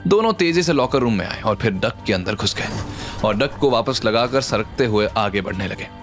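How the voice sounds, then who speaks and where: A man's voice: lowish voice, lively intonation, moderate pace. A man narrating a scripted story in a dramatic audio series style. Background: background music.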